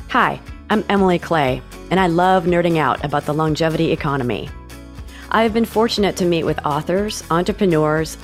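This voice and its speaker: A woman's voice: lowish voice, reverberant. A woman hosting a podcast, giving an enthusiastic scripted intro and then chatting casually with her guest. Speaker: podcast host. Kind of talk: casual interview chat.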